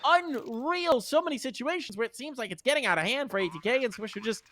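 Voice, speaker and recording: A man casting an esports match, jokey and excitable, his voice jumping high on big plays. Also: fast talker, dry recording. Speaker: esports caster. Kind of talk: play-by-play commentary.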